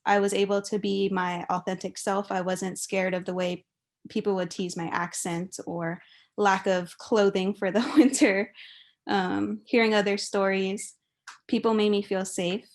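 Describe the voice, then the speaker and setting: A woman's voice: mid-range voice, creaky. A young adult woman from Hawaii, giving an informal, chatty and giggly speech as a guest speaker. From Kauai, Hawaii.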